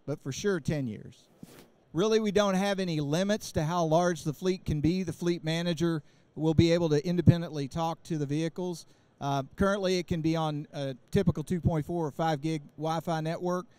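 A man in his forties with a fairly high, smooth, clear voice presents a product at a trade show booth. He explains technical details steadily into a handheld mic, with frequent fillers and restarts. The sound is close and dry. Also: a little mellow, no room sound. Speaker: company presenter. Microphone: handheld microphone.

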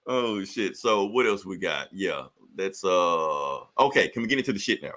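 A man with a bright, forceful voice co-hosts a podcast. He rambles loosely and swears freely, breaking into laughs as he talks. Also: sits low, lively intonation, a little slow. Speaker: podcast co-host. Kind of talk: casual profane chat.

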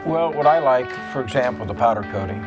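A man's voice: mid-range voice, animated, quick pace, over music. An older man touring a factory, commenting plainly and repeating words for emphasis. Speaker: club owner touring a factory. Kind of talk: interview comments. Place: factory floor, indoors.

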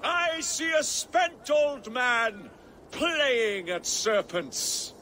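A man's voice: very high voice, animated, very slow, pushed and bright, a little hiss or hum, full character voice. An actor playing a proud, defiant older king in a video game, speaking with scorn. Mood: scornful. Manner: scornful, haughty. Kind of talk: video game cutscene acting.

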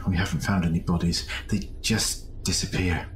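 A man's voice: low-pitched, flat delivery, moderate pace, natural voice, low-quality recording. A man playing a nervous station crewman, hesitant and understated, in an amateur audio drama. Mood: uneasy. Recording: faint background ambience noise.

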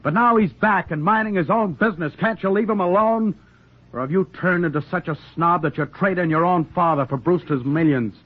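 Plainspoken young drugstore owner, wounded, slightly high male voice, 1940s radio drama. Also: a little fast, breathy edge. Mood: bitter, reproachful. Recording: muffled, tinny 1940s radio recording.

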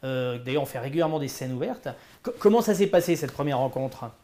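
A man's voice: medium pitch, expressive, fast, mellow. A man hosting an online show, enthusiastically interviewing a guest over a video call. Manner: enthusiastic, exclamatory. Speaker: show host. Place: indoor studio.

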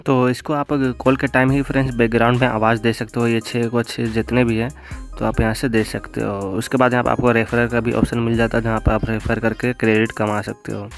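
A young man hosting a step-by-step app tutorial in an instructional, repetitive way. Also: mid-range voice. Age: young adult. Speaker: tech tutorial video host. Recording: music bed behind voice. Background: background music.

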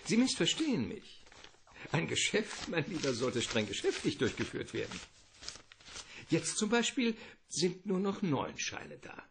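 Gravelly middle-aged crime boss, calculating, courtly and wordy, swooping intonation, radio play. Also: male voice, a little high, wildly swooping pitch, unhurried, a little hiss or hum, full character voice. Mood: suavely bargaining. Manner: elaborate, courtly, theatrical politeness. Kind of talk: radio play detective drama acting.

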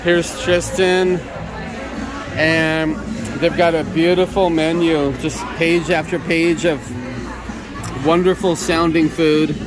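A man's voice: a little high, flat delivery, takes it slowly, poor audio. A man hosting a casual food review vlog from a restaurant table, chatty and upbeat, speaking from behind a handheld camera. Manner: upbeat, chatty, simple praise words. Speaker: vlog host. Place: busy restaurant dining room. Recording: restaurant music and crowd noise. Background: background music and diners chatting.

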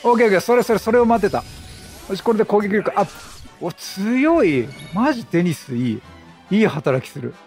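A man doing chatty game commentary, animated, talking to himself and to the characters on screen. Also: high-pitched, a little fast, faint music behind. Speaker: gameplay commentator.

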